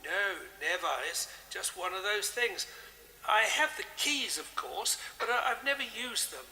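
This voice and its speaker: An actor playing a genial, chatty English country vicar with a genteel, chummy manner. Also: male voice, high-pitched, animated, measured pace, pushed and bright, a little hiss or hum, heavily acted, poor audio. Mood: genial, dismissive. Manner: genteel, chummy, dismissive of superstition. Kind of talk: audio drama acting.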